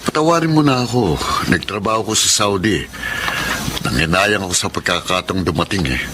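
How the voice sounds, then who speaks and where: Cocky ex-boyfriend, smug, pushy, lower voice, hissy recording. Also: male voice, expressive, a little fast. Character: cocky ex-lover back from abroad. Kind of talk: Filipino radio drama acting. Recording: noisy radio drama recording.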